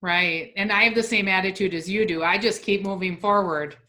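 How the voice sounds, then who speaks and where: Woman host, bright forward tone, upbeat and quick, warmly agreeable. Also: mid-range voice. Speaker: podcast host. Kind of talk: interview conversation.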